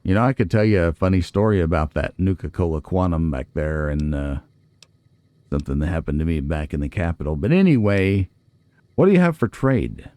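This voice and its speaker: Low, mellow man chatting over a game. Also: animated, fairly quick, no echo. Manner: folksy, chatty, musing aloud to himself. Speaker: let's play host. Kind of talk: casual gameplay commentary.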